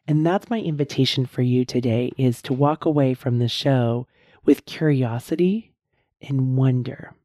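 Hoarse woman podcast host. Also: very deep voice, mellow, no room sound, studio quality. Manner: earnest, encouraging, repeats key points slowly. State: hoarse, strained voice. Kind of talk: casual solo podcast talk.

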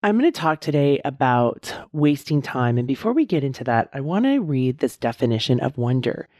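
Middle-aged female podcast host, hoarse and husky, low mellow tone, close dry mic, earnest coaching delivery. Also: very animated, no echo. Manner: earnest, encouraging, repeats key points slowly. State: hoarse, strained voice. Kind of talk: casual solo podcast talk.

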